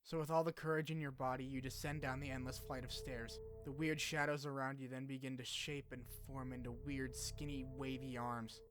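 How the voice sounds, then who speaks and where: A man's voice: mid-range voice, flat delivery, brisk, hardly any pauses. A man hosting a video essay, mixing scripted narration with casual, joking asides and some swearing. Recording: music behind the voice. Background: background music.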